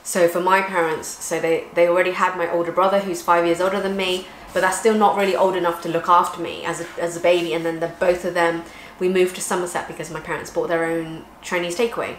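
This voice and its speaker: A woman talks casually to the camera, explaining at length in a lowish voice. Her voice is recorded in an echoey room at home, with faint ambience behind it. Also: little pitch movement, fast, few pauses, a little hiss or hum. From England. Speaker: vlogger co-host. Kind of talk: casual chat.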